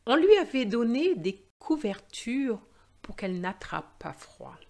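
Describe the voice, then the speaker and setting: A woman teacher reading and presenting in a slow, expressive storytelling style for language learners. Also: on the high side, vocal fry.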